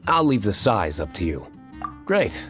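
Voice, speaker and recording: An actor playing a serious, reserved young nobleman in a video game dub, calm and measured. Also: lowish voice, faint music behind.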